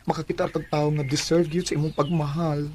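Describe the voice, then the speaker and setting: A man's voice: a little high, flat delivery, a little fast, darkish tone, close to an everyday voice. Apologetic man by an actor, faintly noisy track. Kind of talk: radio drama acting.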